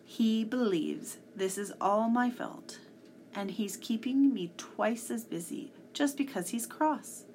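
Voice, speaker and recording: A woman voicing a tall, smooth male henchman in a storybook, breezy and mock-polite with casual menace. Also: on the high side, expressive, takes it slowly, a little mellow, a little hiss or hum. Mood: brisk, businesslike.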